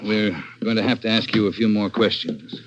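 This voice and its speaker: A man playing a brusque chief of detectives, giving orders briskly in 1940s radio acting style. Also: fairly low pitch, background noise, natural voice. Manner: brisk, commanding. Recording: dull old radio recording.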